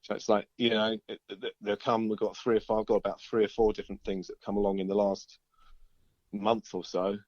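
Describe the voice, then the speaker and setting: Low-voiced man, dull recording. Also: level tone, brisk, a little mellow, no room sound. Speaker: band singer and songwriter being interviewed. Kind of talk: casual interview chat.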